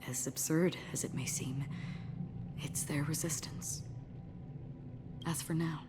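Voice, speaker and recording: An actress voicing a calm, mysterious woman in a game, speaking softly and solemnly as a guide for lost souls. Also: low voice, whispered. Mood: grave, resolute. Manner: measured, soft, hushed, solemn. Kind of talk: scripted game dialogue. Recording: game audio under loud music.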